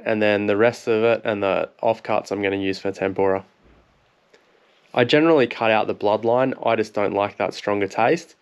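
An Australian man in his thirties talks through a cooking demo in a relaxed, plain way. His voice sits low, stays fairly even in pitch and sounds smooth and clear. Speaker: fishing vlogger. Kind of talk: instructional cooking voice-over.

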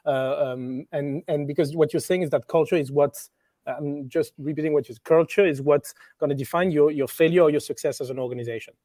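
A man's voice: fairly high pitch, a little fast, dark tone, no echo, clean studio sound. A man speaking as a panel guest on a video call, working through a question hesitantly with many fillers. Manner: hesitant, many fillers while checking his understanding. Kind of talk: casual panel discussion.

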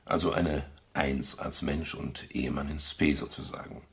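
An actor playing a sentimental, bossy yet gallant private detective in a radio crime drama. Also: male voice, very deep voice, steady tone, normal speed, vocal fry, slight background noise. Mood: polite, attentive.

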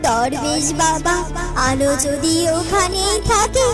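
An anxious serpent queen from a fairytale cartoon, played by a woman. She pleads slowly and dramatically in a high, motherly voice, with heavy music underneath. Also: lively intonation, full character voice. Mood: worried, sorrowful. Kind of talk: Bengali cartoon fairytale dialogue.

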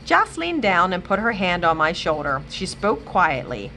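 A woman librarian reading a children's chapter book aloud with expressive, animated storytelling. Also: lowish voice, measured pace, a little hiss or hum. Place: library, indoors.

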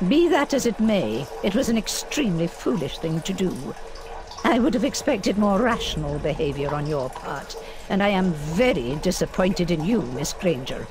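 A woman's voice: lowish voice, very animated, normal speed, background noise. A woman playing a stern, disappointed professor in a video game, speaking formally and precisely as she scolds. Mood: disappointed scolding. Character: stern, disappointed female professor of a wizard school. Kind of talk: video game cutscene acting.

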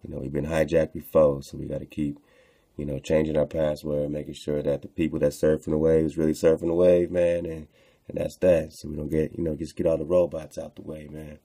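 Male online host, deep gravelly register, slangy, repetitive, unscripted casual commentary. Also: level tone, a little fast, warm tone. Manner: rambling, repeats phrases, slangy "man" and "you know". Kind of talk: casual unscripted commentary while browsing on screen.

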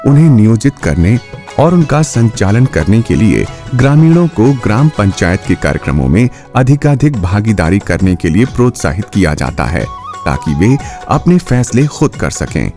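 A man reading scripted documentary narration in a formal, measured, explanatory manner. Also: lowish voice, darkish tone. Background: background music.

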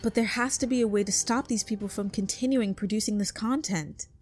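A woman's voice: mid-range voice, a little fast. A woman co-host reading a scripted video essay in an outspoken way. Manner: outspoken, swears. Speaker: co-host of a channel. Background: background music.

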